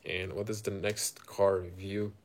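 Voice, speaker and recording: A man from Croatia with a Croatian accent, casually chatting to viewers as a car reviewer on a live stream, halting and thinking aloud. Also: low voice, little pitch movement. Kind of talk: casual unscripted chat with viewers.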